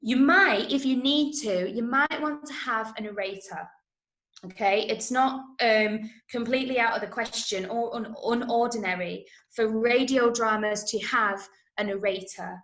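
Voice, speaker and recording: Higher-pitched English woman in an echoey room. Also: moderate pace, fairly bright tone. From Manchester, England. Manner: explanatory, checks understanding with questions. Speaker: drama workshop teacher. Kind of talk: teaching a script-writing workshop. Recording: roomy, echoing room sound.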